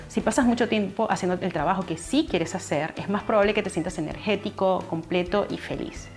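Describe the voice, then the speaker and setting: Young woman explaining money to camera. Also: mid-range voice. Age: young adult. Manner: steady, explaining, addresses viewer directly. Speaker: finance channel host. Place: living room, seated on a couch indoors. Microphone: lapel mic.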